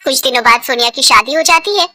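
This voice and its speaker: A woman's voice: high voice, fast, bright, forceful tone, dry recording. A woman narrating a scripted cartoon moral story.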